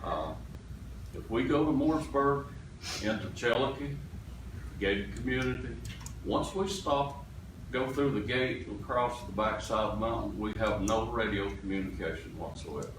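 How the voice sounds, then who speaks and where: A man's voice: lowish voice, normal speed. An older man, a fire chief giving plain, matter-of-fact informal comments at a public meeting. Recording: very echoey, distant mic, noisy room.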